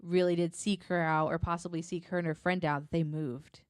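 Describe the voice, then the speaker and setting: A woman co-hosting a casual podcast chat, informal and often halting as she searches for words. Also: fairly low pitch, little pitch movement, clean tone, no room sound, studio quality. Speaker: podcast co-host.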